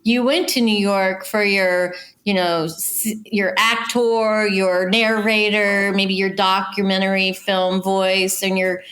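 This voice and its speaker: A woman's voice: medium pitch, level tone, slow, hardly any pauses, a bit bright. A middle-aged woman talking casually and conversationally as a guest casting director in an interview.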